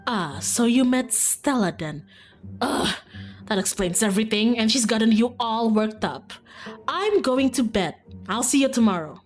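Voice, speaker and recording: A woman's voice: fairly high pitch, expressive, a little slow, soft background music. A woman voicing a sour, tired and irritable young female cousin in a visual novel game. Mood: irritated, weary.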